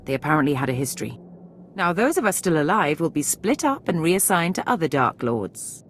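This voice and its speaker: A woman's voice: deep voice, very animated, a little fast, slight background noise. A woman playing a hard-bitten, bitter Imperial soldier in a video game, blunt and sardonic.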